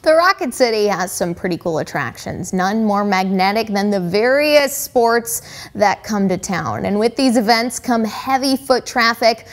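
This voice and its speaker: A woman's voice: medium pitch, animated, unhurried, soft background music. A woman anchoring local news, reading scripted intros in a polished way.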